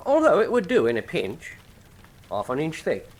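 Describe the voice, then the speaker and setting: A chatty working-class hangman, retired and proud of his trade, in a radio mystery. His fairly high voice bounces along in folksy, plain-spoken fashion. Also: huge pitch swings, normal speed, exaggerated voice. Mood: matter-of-fact, proud. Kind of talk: full-cast radio mystery drama acting.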